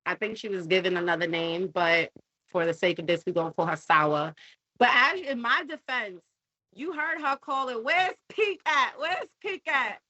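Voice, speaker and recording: A woman's voice: medium pitch, expressive, normal speed, pushed and bright, no echo, highs cut off. A woman with a New York accent chatting casually as a podcast guest, in a cocky, playful, slangy way. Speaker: reality TV personality, podcast guest. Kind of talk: casual chat.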